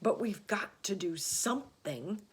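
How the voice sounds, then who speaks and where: A woman's voice: medium pitch, animated, slow, voice pushed up. A woman doing a blustering, panicky fire chief. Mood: alarmed. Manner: blustering, alarmed. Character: pompous, jumpy man, fire chief.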